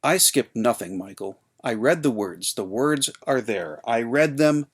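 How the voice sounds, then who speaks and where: A man's voice: mid-range voice, lively intonation, a little slow, husky. A man voicing his father, a minister, answering curtly and huffily. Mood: huffy. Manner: curt, huffy. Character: huffy middle-aged minister father, stern.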